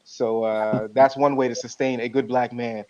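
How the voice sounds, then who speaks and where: A man's voice: mid-range voice, level tone, moderate pace, background noise. A man in his early thirties joining a casual video call as a guest, upbeat and friendly. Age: 30s. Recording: dull lo-fi call audio.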